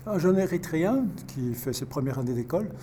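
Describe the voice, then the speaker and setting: A man in his fifties, a pastor volunteering as a tutor, answering interview questions in a halting way with many restarts. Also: on the high side, animated, dark tone, slight background noise. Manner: halting, restarts words often.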